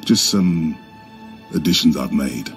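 An actor playing a big, easygoing veteran super-soldier in a game, plain-spoken and unhurried. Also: male voice, rumbling low, expressive, faint music behind, exaggerated voice. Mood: respectful. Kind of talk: video game cutscene acting.

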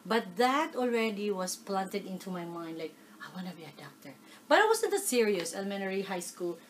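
A middle-aged woman from the Philippines with a Filipino accent, answering oral history questions in a living room, animated, with many fillers. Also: mid-range voice, fairly quick, slight background noise. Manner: animated, gestures while talking, frequent fillers. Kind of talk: casual oral history interview. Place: living room, seated on a leather sofa.

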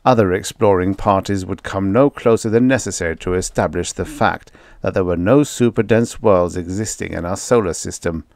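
A man's voice: low voice, moderate pace, darkish tone, dry recording, studio quality. A man reading aloud voices a bitter, miserable astronomer who mutters his lines. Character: bitter, gloomy male astronomer. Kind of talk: audiobook character voice.

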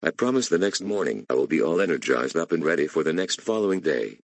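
A man's voice: a bass voice, very animated, fast, no echo, exaggerated voice. A man voicing a stressed, defiant employee who whines and complains. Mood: weary. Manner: whining, complaining. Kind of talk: amateur voice acting.

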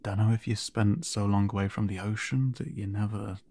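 A man playing a gentle, flustered siren in an audio roleplay, speaking haltingly and stammering with concern, often dropping to a breathy near-whisper. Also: lowish voice, fairly even intonation. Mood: worried, baffled. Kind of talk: audio roleplay addressed to the listener.

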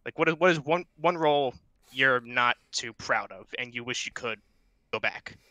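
A man's voice: mid-range voice, moderate pace, pushed and bright, muffled recording. A man chatting casually in an online panel, rambling and joking at his own expense as he asks a question. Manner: rambling, jokey, self-deprecating. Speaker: audience member asking a question. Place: remote voice chat.

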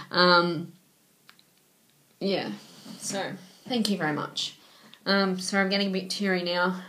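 A young woman speaks to her webcam from a small bedroom, her voice roughened by a cold. She talks earnestly and a little rambling, filling gaps with "um" and thanking viewers over and over. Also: medium pitch, sharp tone. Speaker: vlogger. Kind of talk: casual thank-you messages to viewers. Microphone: webcam at desk.

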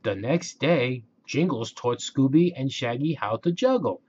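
Slow, expressive man reading a storybook. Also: medium pitch, lo-fi sound, slight background noise. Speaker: story narrator. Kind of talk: children's storybook read-aloud.

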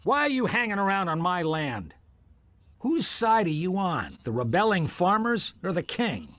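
High-voiced grumbling farmer. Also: male voice, sing-song, moderate pace, a bit bright. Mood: suspicious. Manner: grumbling, blunt. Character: suspicious, aggrieved male farmer in fantasy land. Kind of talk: video game dialogue acting.

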